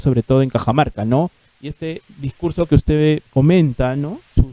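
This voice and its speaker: A man hosts a political interview in a very dark, mellow voice pitched somewhat high. He puts probing questions and keeps murmuring acknowledgements, recorded with a dry, close sound. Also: no room sound, low-quality recording. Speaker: interviewer host.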